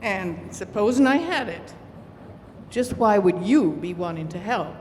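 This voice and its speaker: Hard-bitten, wary female outlaw actress, blunt and unhurried, vintage radio play in an echoing hall. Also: medium pitch, lively intonation, darkish tone, lightly acted. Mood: wary, bitter. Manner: blunt, earthy, folksy ungrammatical speech. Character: tough, suspicious woman smuggler guarding stolen gold. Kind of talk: old-time radio drama stage acting. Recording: echoey live hall, faint noise.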